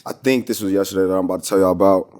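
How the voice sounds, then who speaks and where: A man's voice: deep voice, racing, darkish tone. An American man from Minnesota chatting casually as a podcast guest, with slangy, rambling storytelling. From Minnesota, United States. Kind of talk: casual interview chat.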